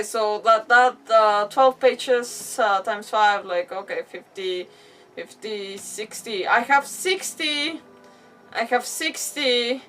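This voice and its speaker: A woman doing casual livestream game commentary, rambling, expressive and often interrupting herself. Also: mid-range voice, recorded from a distance, low-quality recording.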